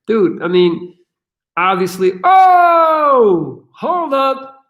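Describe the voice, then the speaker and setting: A man hosting a casual livestream Q&A, answering viewer questions in an energetic, profane way with many fillers. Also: very high voice, drawn-out words.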